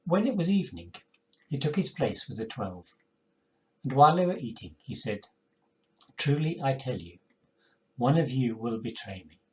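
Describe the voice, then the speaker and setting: An older man reading scripture aloud in a measured, solemn manner. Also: medium pitch, big pitch swings, rough recording. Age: older, 60s or 70s. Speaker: congregation member reading scripture. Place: living room at home, indoors.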